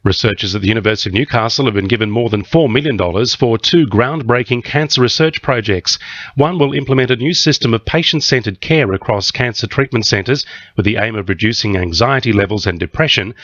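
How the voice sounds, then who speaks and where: A man's voice: mid-range voice, fairly quick, a bit bright, no room sound, dull audio. An Australian man reading a scripted local radio news bulletin. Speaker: news reader.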